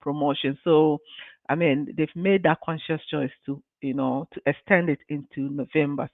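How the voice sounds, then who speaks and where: A woman's voice: low voice, no echo. A woman speaks to her live-stream viewers as a business mentor, earnest and encouraging, often saying "you know." Her voice comes through a tinny, phone-like line with no room sound around it. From Ghana. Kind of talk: motivational live-stream talk.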